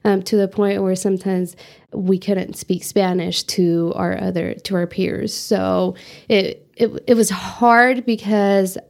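Mellow-voiced young woman interviewee. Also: medium pitch, steady tone, slow, creaky. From United States. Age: young adult. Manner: earnest, reflective, many fillers. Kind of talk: oral history interview.

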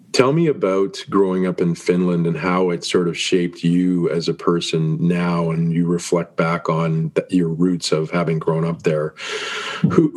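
A man's voice: sits low, darkish tone. A man hosting a podcast who reads a guest's bio and then asks rambling, restarting questions. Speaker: podcast host.

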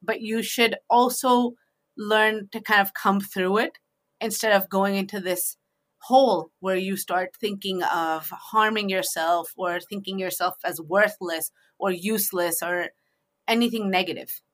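A woman's voice: mid-range voice, steady tone, unhurried. A woman hosting a two-person discussion, giving informal, emphatic advice in a conversational way.

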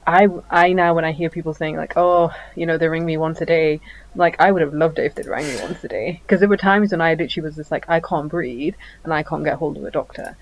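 A woman's voice: low-pitched, fast, muffled recording, slight background noise. Sniffly woman with a cold. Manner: earnest, stumbling restarts. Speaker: podcast co-host. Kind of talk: casual chat.

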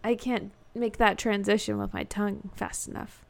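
A woman's voice: mid-range voice, little pitch movement, normal speed, vocal fry. A young woman co-hosting a podcast, chatting casually, who laughs often and trails off mid-thought. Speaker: podcast co-host. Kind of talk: casual chat.

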